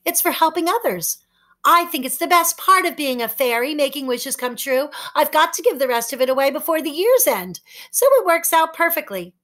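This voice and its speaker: A woman's voice: high voice, expressive, normal speed, voice pushed up. A woman voicing a tiny, cheerful female fairy who talks sweetly and eagerly. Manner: bright, gleeful and sweet.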